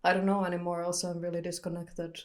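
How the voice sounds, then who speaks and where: A young woman from Finland, a photographer answering interview questions candidly and thoughtfully, often pausing to search for words. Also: on the low side, level tone. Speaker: photographer guest.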